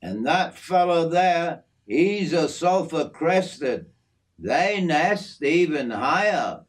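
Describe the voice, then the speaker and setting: A man's voice: on the high side, very animated, slow pace, pitched above the performer's own voice, exaggerated voice. A man voicing a friendly middle-aged Australian working man and father, with a broad, slangy, matey drawl, in an audiobook. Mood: proud. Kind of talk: storybook character voice.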